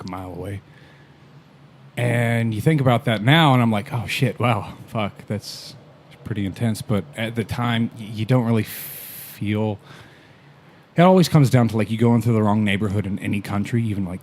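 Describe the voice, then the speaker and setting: Brief: male podcast guest, lower register, easygoing storyteller, casual cursing, faint hum behind. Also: normal speed, a little mellow. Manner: laid-back storyteller, swears casually, chuckles often. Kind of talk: casual chat. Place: podcast recording in Canada.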